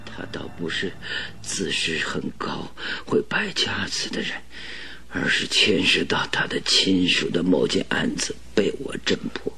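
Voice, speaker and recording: An actor playing a gravely ill, stubborn detective in a radio drama, with a weak, breathy, halting voice. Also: male voice, deep voice, bright, forceful tone, heavily acted. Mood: laboured pleading. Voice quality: breathy, raspy, weak whisper. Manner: halting, laboured, pleading.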